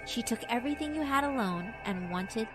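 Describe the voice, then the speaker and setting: A woman's voice: a little high, animated, brisk, over music, lightly acted. A woman voicing a sinister, cruelly amused female school spirit in a horror visual novel, theatrical and mock-polite. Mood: gleeful, taunting. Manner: theatrical, mock-polite, taunting rhetorical questions.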